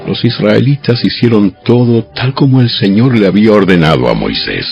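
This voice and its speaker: Male narrator for dramatized scripture audio. Gravelly, expressive, solemn delivery; slightly dull lo-fi sound. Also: fairly low pitch, moderate pace. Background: music.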